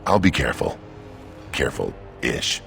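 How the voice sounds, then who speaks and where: Very deep, gravelly mad dwarf. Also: male voice, very animated, measured pace, a bit bright, soft background music, heavily acted. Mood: reckless, eager. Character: mad, gruff dwarven explosives tinkerer, proud and gleeful. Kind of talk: fantasy video game acting.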